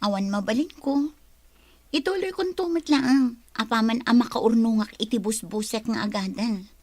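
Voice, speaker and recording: A woman acting a troubled, quick-tempered young working woman in an Ilocano radio drama. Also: fairly high pitch, a little mellow, dry recording, close to an everyday voice. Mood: discouraged.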